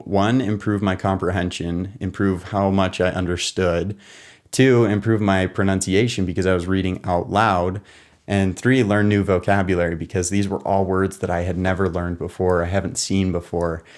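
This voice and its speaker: A young American man in a casual, relaxed monologue, explaining things step by step and sometimes correcting himself as he goes. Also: low-pitched. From United States. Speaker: podcast co-host.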